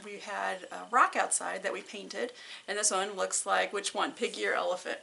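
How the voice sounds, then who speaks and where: A woman's voice: mid-range voice, steady tone, moderate pace, gravelly, pushed and bright, slight background noise, rough recording. A woman hosting a story time, chatting casually to children. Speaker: story reader and host.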